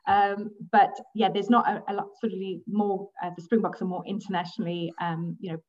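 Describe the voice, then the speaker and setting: A woman's voice: mid-range voice, level tone, fairly quick, a little mellow. Distant, muffled woman. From grew up in South Africa. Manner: hesitant, many ums, laughs easily. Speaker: guest, bat conservationist. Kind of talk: casual interview chat.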